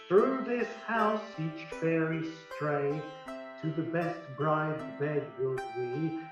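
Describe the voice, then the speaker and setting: An actor playing a stately fairy king in an amateur radio play, chanting Shakespearean verse in measured rhyme. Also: high-pitched. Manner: incantatory, measured rhyming delivery. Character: Oberon, stately fairy king blessing a house. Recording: very echoey, distant, muffled, music behind.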